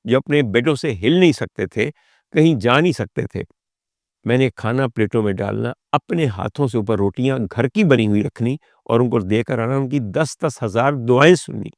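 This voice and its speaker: Gravelly Pakistani man telling personal stories. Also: mid-range voice, no room sound, clean studio sound. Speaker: talk show guest and storyteller. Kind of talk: personal storytelling monologue to an audience.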